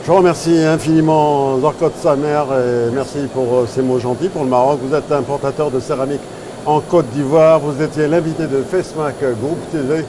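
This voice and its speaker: A man's voice: fairly high pitch, fairly even intonation, normal speed, a crowd in the background. A man interviewing a guest on location, putting his questions in a courteous, formal way. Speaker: interviewer for a web TV channel.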